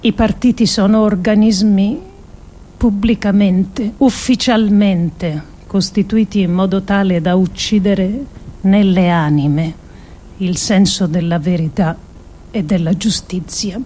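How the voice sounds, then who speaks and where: A woman performing a solemn dramatic reading of an essay, with deliberate, emphatic phrasing. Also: medium pitch, fairly even intonation, warm tone, slight background noise. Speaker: actress performing a radio monologue.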